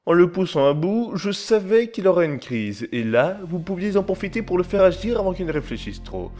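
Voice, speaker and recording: An actor playing a calm, scheming crime boss who speaks formally and condescendingly. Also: male voice, sits high, brisk, faint music behind. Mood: cold. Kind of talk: amateur audio drama.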